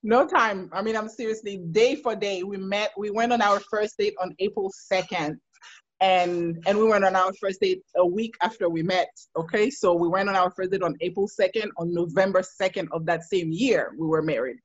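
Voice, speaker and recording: A woman in her thirties telling her life story as an interview guest, laughing often and getting animated when she quotes herself. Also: mid-range voice, moderate pace, dry recording. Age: 30s. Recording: dull lo-fi sound.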